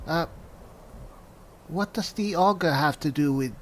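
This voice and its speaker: Hesitant man with a slow, higher voice. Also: slight background noise. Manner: hesitant, halting, muttering to himself. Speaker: gamer playing a roleplaying video game. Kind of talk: in-character roleplay commentary. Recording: music behind the voice. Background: game music.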